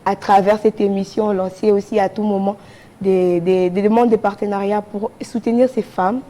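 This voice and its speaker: A Congolese woman speaks as a guest in a TV studio. Her voice is dark and relaxed at a medium pitch. She makes an earnest appeal, restating her phrases as she goes. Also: normal speed. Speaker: charity association representative, TV guest.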